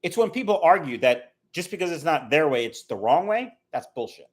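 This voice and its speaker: Animated fifty-something man chatting live. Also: fairly high pitch, fairly quick. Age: 50s. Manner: chatty, rambling, answers viewer comments aloud. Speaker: fishkeeping livestream host reading chat. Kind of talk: casual live chat.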